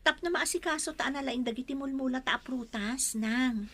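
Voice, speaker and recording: An actress plays a dutiful young farm daughter with an Ilocano accent. Her voice is fairly high, with an earnest, hopeful manner suited to village radio drama. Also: brisk, fairly bright tone. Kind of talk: Filipino radio drama acting.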